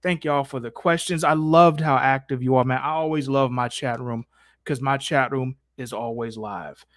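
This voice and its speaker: A man's voice: mid-range voice, steady tone, normal speed, no echo, clean studio sound. An American man hosting a casual live stream, chatting in an effusive, slangy, profane way.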